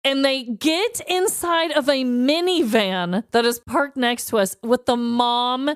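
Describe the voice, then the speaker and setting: A woman's voice: sits high, slow, clean tone, no echo, clean studio sound. A woman podcast co-host telling stories casually for laughs, dramatic and emphatic, often repeating words for effect. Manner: dramatic, emphatic storyteller who repeats words and checks in with "okay?". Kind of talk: casual comic storytelling.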